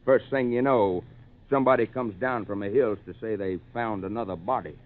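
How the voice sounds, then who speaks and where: An actor playing a sober, knowing backwoods doctor who explains and cautions gravely, in 1940s radio drama style. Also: male voice, fairly low pitch, fairly quick, narrow, tinny audio, close to an everyday voice. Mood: grim. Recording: thin, band-limited 1940s radio recording.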